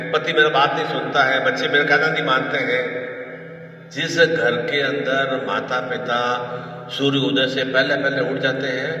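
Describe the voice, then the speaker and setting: A man delivers a spiritual discourse, preaching emphatically and pressing hard on his key points. His voice is fairly high and bright. He is recorded from a distance, and long reverb from a large hall washes over everything. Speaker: guru giving a discourse.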